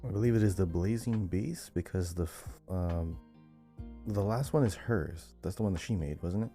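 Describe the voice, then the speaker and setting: A male podcast co-host talks casually in a very low, dark-toned voice. Soft music plays behind him. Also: moderate pace. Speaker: podcast co-host, quiz contestant.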